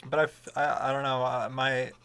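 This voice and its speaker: A man with a fairly low voice, chatting casually on a livestream and rambling with many fillers and restarts. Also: little pitch movement, normal speed, a bit bright, smooth. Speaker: streamer talking about microphones.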